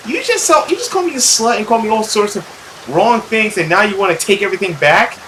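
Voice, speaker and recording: A man's voice: sits high, expressive, quick pace, pushed and bright, a little hiss or hum. A man hosting a gaming video, reading a visual novel aloud with exclamatory, jokey reactions.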